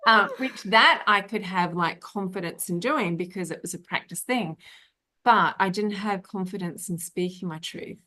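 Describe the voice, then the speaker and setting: A woman's voice: mid-range voice, normal speed, pushed and bright. A middle-aged woman hosting an interview, talking in a chatty, rambling way with many fillers. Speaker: podcast host. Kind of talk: casual interview chat.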